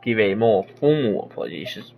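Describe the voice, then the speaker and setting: A man's voice: lowish voice, sing-song, quick pace, gravelly, muffled recording. A man doing casual gameplay commentary, reading dialogue aloud and muttering as he trails off. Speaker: game streamer reading dialogue along. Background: game music.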